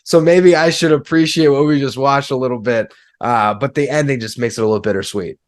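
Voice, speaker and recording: A man's voice: medium pitch, brisk, no echo, clean studio sound. A man in his twenties talking casually and at length about sports as a podcast guest.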